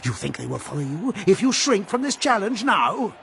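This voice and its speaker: Defiant warlord, formal and commanding, forceful gravel, audio drama. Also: male voice, high-pitched, very animated, moderate pace, a little hiss or hum, full character voice. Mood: proud defiance. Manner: declamatory, formal.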